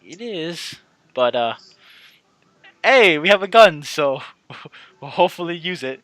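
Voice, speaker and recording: A man's voice: a little high, expressive, slow pace, a bit bright, dry recording. A man doing casual let's-play commentary as a channel host, rambling and repeating himself as he talks to his viewers.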